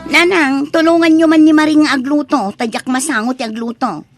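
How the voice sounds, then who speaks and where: A woman with an Ilocano accent acting a haughty, sharp-tongued married daughter in a comedic audio drama. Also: high-pitched, fairly even intonation, normal speed, no room sound, faint music behind. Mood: bossy. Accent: Filipino, Ilocano.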